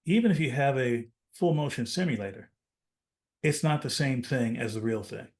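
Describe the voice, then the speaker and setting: A man's voice: mid-range voice, expressive, moderate pace. A man with a mid-range voice speaks as a podcast co-host. He explains in a conversational, reasoning way, often putting a question and then answering it. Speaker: aviation safety podcast co-host. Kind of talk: casual explanatory discussion.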